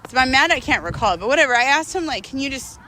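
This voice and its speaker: A woman's voice: sits high, animated, quick pace, background noise. A young woman telling a personal story in a casual, chatty vlog style, slangy and full of informal asides.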